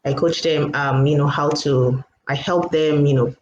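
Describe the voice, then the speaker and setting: A woman's voice: low-pitched, steady tone, normal speed, darkish tone, lo-fi sound. A woman from Lagos State, Nigeria, a writer and writing coach, talking casually as a guest with many fillers and laughs. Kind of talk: casual interview chat. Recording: echoey room, faint background noise.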